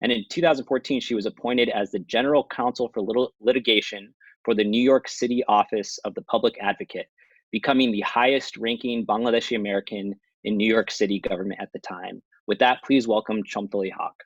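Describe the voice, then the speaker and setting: A man moderating an online panel, introducing speakers politely with some halting fillers. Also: on the low side, steady tone, breathy edge, dry recording, muffled recording.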